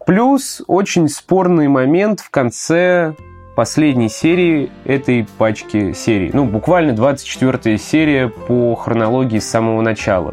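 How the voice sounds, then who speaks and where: A man's voice: medium pitch, darkish tone. A man co-hosting a casual podcast, retelling anime plot points with hesitant fillers and the odd swear. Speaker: podcast co-host. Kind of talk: casual chat about anime.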